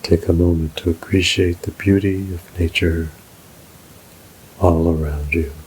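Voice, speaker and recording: A man narrating a scripted guided meditation in a soothing, measured, unhurried way. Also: sits low, fairly even intonation, a little mellow, slight background noise, poor audio.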